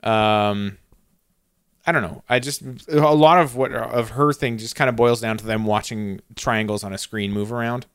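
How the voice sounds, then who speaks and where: A man's voice: fairly low pitch, normal speed, fairly bright tone, smooth, dry recording, studio quality. A man co-hosting a casual podcast, reviewing a TV episode in a laid-back, wry, joking way. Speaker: podcast co-host.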